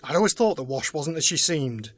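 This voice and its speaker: An actor playing an earnest resistance member in an audio drama, explaining things in a measured way. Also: male voice, fairly high pitch, big pitch swings. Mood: earnest, explanatory. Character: earnest male resistance member, former presidential assistant. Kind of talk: full-cast audio drama acting.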